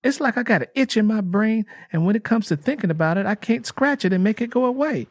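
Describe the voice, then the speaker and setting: A man's voice: high-pitched, lively intonation, fast talker, no room sound, clean studio sound, voice pushed up. A man voicing a young, yearning, persistent daughter with plaintive rural speech in an audio drama. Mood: yearning, insistent.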